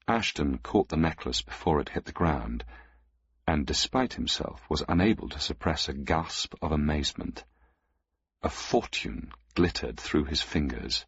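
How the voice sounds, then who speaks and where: A man narrating a scripted story in a measured, even way. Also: a bass voice, breathy edge, dry recording, highs cut off.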